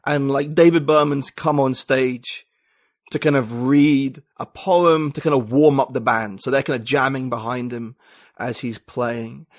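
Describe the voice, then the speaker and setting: A man's voice: mid-range voice, steady tone, measured pace, dry recording, clean studio sound. A man, a podcast guest talking reflectively and searching for his words in casual conversation.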